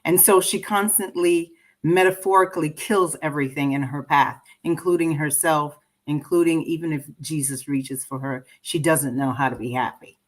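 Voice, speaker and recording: A woman's voice: low voice, fairly even intonation, normal speed, few pauses. A woman from Trinidad, answering interview questions in an earnest, reflective, conversational way. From Trinidad and Tobago. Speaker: interview guest, poet and filmmaker.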